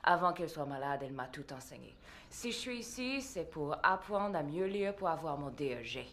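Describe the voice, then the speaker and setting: A woman's voice: low voice, lively intonation, fairly bright tone, a little hiss or hum. A woman dubbing a hesitant adult student in a French film dub. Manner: hesitant, halting. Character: hesitant woman student, speaks of her mother.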